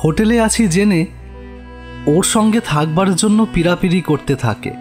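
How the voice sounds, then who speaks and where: Male narrator as gaunt dying man, solemn, polite, guilt-ridden confession, raised pitch, horror cartoon, music behind. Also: moderate pace, darkish tone, natural voice. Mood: haunted, recounting. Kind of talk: horror audio story character acting.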